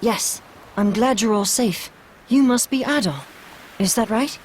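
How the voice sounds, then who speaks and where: An actress playing a polite, gentle household servant in a video game, speaking with formal courtesy. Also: female voice, fairly high pitch, unhurried, slight background noise, natural voice. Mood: welcoming, polite. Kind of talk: video game cutscene acting.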